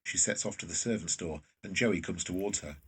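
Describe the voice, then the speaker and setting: Male drama narrator, low-pitched and very husky, slightly distant from the mic, scripted scene descriptions. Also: a little fast, fairly bright tone.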